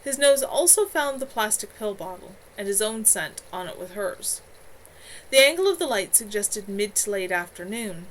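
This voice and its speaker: A woman's voice: medium pitch, lively intonation, moderate pace. Woman narrating a novel, noisy recording. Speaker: author reading own novel aloud. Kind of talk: live amateur audiobook-style narration. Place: at home. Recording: clearly noisy home livestream recording.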